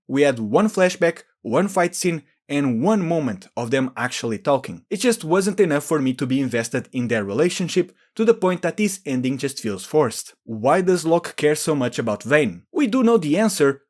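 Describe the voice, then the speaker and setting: Guy doing a scripted lore video essay, voice a bit high, really animated intonation. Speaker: video host and game lore commentator.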